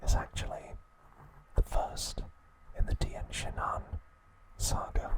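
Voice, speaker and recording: A man whispering softly and deliberately in short phrases, narrating a recap in ASMR style with a breathy, unvoiced voice. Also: poor audio. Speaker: host of an ASMR channel.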